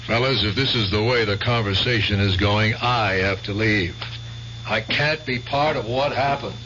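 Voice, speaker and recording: An actor playing a cautious, practical war veteran in an old-time radio drama, with a pressing, insistent manner. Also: male voice, on the low side, normal speed, background noise, natural voice. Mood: uneasy. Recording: dull, humming old radio recording.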